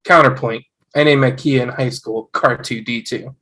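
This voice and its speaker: A man's voice: medium pitch, steady tone, moderate pace, sharp tone. A man playing a nerdy, skeptical young husband in an amateur table read, dry and questioning. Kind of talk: amateur table read over video call.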